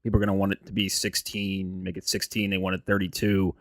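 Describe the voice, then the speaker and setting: American man, lower-pitched voice, dry sound, conversational, opinionated, casual sports talk. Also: completely flat delivery, fairly quick, fairly bright tone, no room sound. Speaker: sports podcast co-host.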